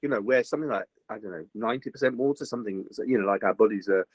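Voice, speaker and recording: An older man, a voice actor chatting in an interview, slightly gruff, rambling with many fillers and restarts. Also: fairly low pitch, very fast, no echo. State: slightly gruff after a recent virus. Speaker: voice actor guest. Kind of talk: casual interview chat.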